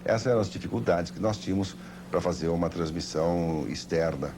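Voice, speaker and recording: An older Brazilian man with a very deep voice reminisces like a seasoned sports broadcaster. He talks quickly and tells his anecdotes with emphasis. The sound is an old television tape with clear background noise. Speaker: veteran sports broadcaster giving testimony. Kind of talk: anecdotal interview recollection.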